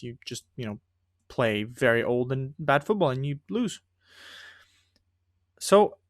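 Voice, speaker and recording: A man's voice: fairly high pitch, no echo, studio quality. A man whose first language is not English, chatting casually and rambling as he comments on a football management game he is playing. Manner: chatty, rambling, breaks off and restarts sentences. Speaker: gaming video creator.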